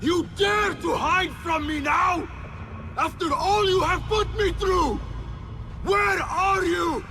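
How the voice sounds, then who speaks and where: Tormented game hero yelling defiantly, super high strained pitch, slow drawn-out shouts. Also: male voice, soft background music, exaggerated voice. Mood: enraged, desperate. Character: tormented male assassin raging at his unseen tormentor. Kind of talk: dramatic video game acting.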